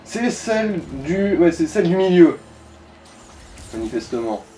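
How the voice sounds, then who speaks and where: Mellow-voiced man coaching through a video game. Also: high voice, sing-song, measured pace, recorded from a distance, slight background noise. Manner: informal, swearing, coaching. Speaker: let's play co-host guiding the player. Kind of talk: casual gaming commentary.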